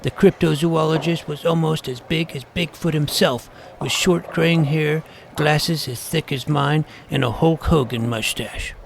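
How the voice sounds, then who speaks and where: A man's voice: fairly high pitch, a little slow, vocal fry, slight background noise. A man playing an earnest, wordy schoolboy paranormal detective who narrates his own adventure in an audio drama. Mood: dramatic, wry. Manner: precocious, wordy, earnest, mock-serious. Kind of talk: first-person scripted audio drama narration.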